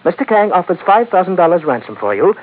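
Sly chinese merchant boss, super formal and polite but threatening, old tinny radio show. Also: male voice, high voice, big pitch swings. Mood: smooth, businesslike. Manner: formal, elaborately polite, unhurried. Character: suave, sly Chinese merchant crime boss, courteous menace. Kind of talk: old-time radio drama acting. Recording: noisy, tinny vintage radio recording.

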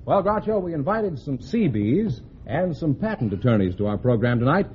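A man's voice: fairly high pitch, sing-song, moderate pace, a little hiss or hum. An American man announcing a 1950s radio show in a formal, projected showman's delivery. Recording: muffled vintage radio recording, faint music.

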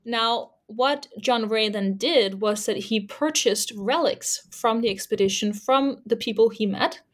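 A woman's voice: on the high side, measured pace. A Danish woman, a native Danish speaker, talking as an author about her research in an interview, thoughtful and full of fillers and restarts. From Denmark. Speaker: author and historian guest. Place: Canada.

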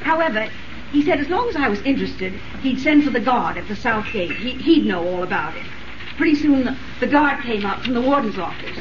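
An anxious, earnest young heroine acted by a woman speaks in a fairly high voice with a breathless, hesitant delivery. It is 1930s radio acting, heard muffled through steady hiss and noise. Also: fairly quick, close to an everyday voice. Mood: earnest recounting. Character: anxious, earnest, devoted woman assisting a crime-fighter. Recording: noisy, muffled, distant 1930s radio recording.

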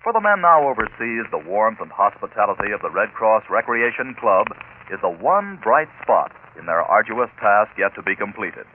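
A man's voice: lowish voice, big pitch swings, normal speed. A man reading a scripted appeal as a 1940s radio announcer, earnest and formal. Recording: tinny, noisy old radio broadcast.

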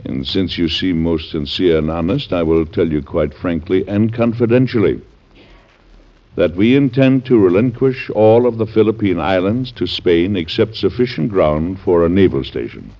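A very deep-voiced, conscientious middle-aged president in an old radio drama. His delivery is formal, earnest and deliberate, with a lively rise and fall. The sound is dull, like vintage radio. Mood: measured, courteous. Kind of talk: classic American radio drama acting. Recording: dull band-limited old radio recording.

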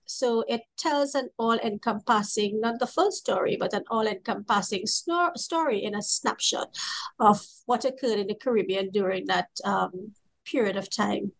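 Trinidadian woman scholar, mid-pitched, earnest interview guest. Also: moderate pace, vocal fry, poor audio. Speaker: historian and co-editor guest. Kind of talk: academic interview.

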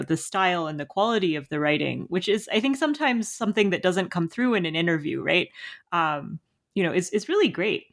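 A woman academic interviewing an author, earnest, with many repeated words. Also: lowish voice.

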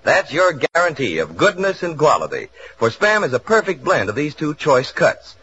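A young man working as a radio announcer, delivering a polished, emphatic 1940s-style commercial pitch. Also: wildly swooping pitch, normal speed, bright, forceful tone. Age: young adult. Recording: muffled, noisy 1940s radio broadcast.

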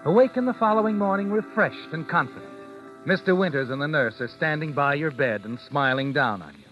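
A man as an ominous high-voiced radio narrator. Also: expressive, brisk, natural voice. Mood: insinuating. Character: ominous, needling male narrator addressing the guilty heroine. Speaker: narrator of a suspense radio drama. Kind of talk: old-time radio suspense narration in second person. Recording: dull old radio recording, loud music behind. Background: dramatic music.

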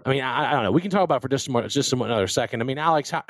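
A man's voice: medium pitch, animated, racing, a bit bright, no room sound, clean studio sound. An American man hosting a show with casual sports banter, talking emphatically and repeating his phrases for effect. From Louisiana and Texas, United States. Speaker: talk show host.

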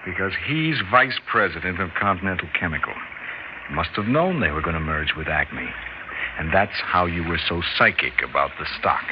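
Wry american hubby, deep voice, old radio drama, bit muffled and noisy. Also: male voice, lively intonation, moderate pace. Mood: wry, amused. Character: wry, easygoing suburban husband, gently knowing. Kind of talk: 1960s radio drama acting.

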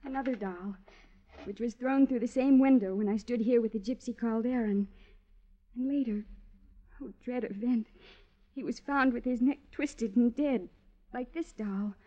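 An actress in a classic radio drama playing a lovestruck, melodramatic widow with an effusive manner. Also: female voice, on the high side, normal speed, mellow, natural voice. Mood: mournful, dramatic. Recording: dull, band-limited radio recording.

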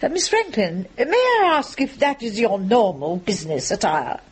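Prim office spinster for radio play: pompous, disapproving, high and raspy, formal diction. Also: female voice, big pitch swings, moderate pace, heavily acted. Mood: prim disapproval. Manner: clipped formal speech, stern and disapproving. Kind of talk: radio drama acting.

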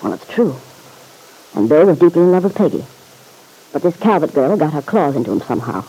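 A man's voice: a little high, sing-song, brisk, dark tone, breathy edge, heavily acted, poor audio. An actor playing a stern, insistent man in an old-time radio serial, blunt, slangy and accusing, pressing for the truth.

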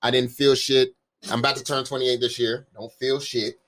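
A man's voice: medium pitch, flat delivery, normal speed, fairly bright tone. A man in his late twenties co-hosting a casual chat, laid-back and joking, full of slang. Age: 20s. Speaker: podcast co-host.

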